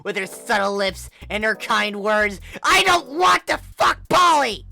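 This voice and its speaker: A woman's voice: mid-range voice, big pitch swings, measured pace, vocal fry, sharp tone, pitched above the performer's own voice, full character voice. A woman voicing a melodramatic, repressed teenage girl zealot, preaching in outrage for a story reading. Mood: frantic, preachy. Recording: music bed under the voice.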